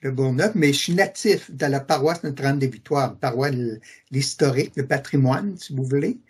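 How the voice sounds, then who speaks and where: A man's voice: mid-range voice, moderate pace, a little mellow, slightly rough. A middle-aged man from Quebec City with a Québécois French accent, earnestly giving testimony as an interview guest, repeating his affirmations and hesitating often. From Quebec City, Canada.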